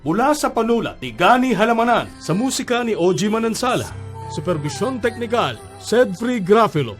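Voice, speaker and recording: A woman's voice: mid-range voice, sing-song, moderate pace, soft background music. A woman announcer with a Filipino accent reading scripted radio drama credits.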